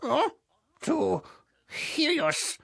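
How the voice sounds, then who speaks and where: A woman voicing a greedy, sly big bad wolf in a cartoon fairy tale, gravelly and drawn-out, savoring the words. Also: sits high, sing-song, fairly bright tone, heavily acted. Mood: falsely sweet. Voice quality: gravelly, husky. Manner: drawn-out, savoring, falsely sweet when posing as grandmother.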